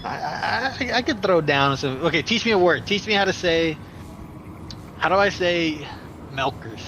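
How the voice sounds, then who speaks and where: A young man chats outdoors on a stream, joking and teasing, laughing a lot and stumbling over his words. His voice sits a bit high. Loud street and traffic noise runs behind him. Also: expressive, normal speed. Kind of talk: joking casual chat. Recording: noisy outdoor street recording.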